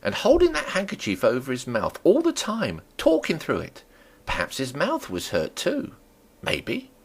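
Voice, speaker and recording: Man putting on a chatty, nosy country landlady, lilting high. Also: huge pitch swings, measured pace, gravelly, breathy edge, heavily acted. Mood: gossipy fussing. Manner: folksy rustic dialect, rambling and fussing.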